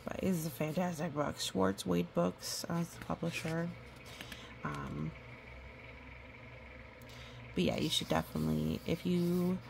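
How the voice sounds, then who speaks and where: A woman reading a picture book aloud to a young child, animated and repeating words for emphasis, with explanatory asides. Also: fairly low pitch, gravelly, slight background noise, rough recording.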